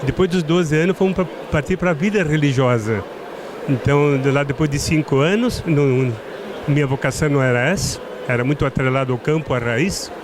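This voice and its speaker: A middle-aged man from southern Brazil with a strong Italian-tinged accent, speaking as a winemaker guest in a halting way, often restarting his words. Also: a little high. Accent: Italian-tinged Brazilian Portuguese. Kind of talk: casual interview at a trade fair. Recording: noisy trade fair ambience.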